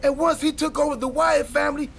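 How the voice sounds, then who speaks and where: A man playing an excitable, goofy time-travelling wrestler in a video game cutscene, wisecracking through dramatic exposition. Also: very high voice, fast, gravelly, faint music behind, exaggerated voice. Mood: dramatic, ominous.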